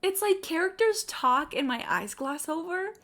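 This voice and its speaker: A woman's voice: very high-pitched, expressive, normal speed, pushed and bright. A woman giving casual live game-stream commentary in a sarcastic, exasperated, sweary manner. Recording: game music behind.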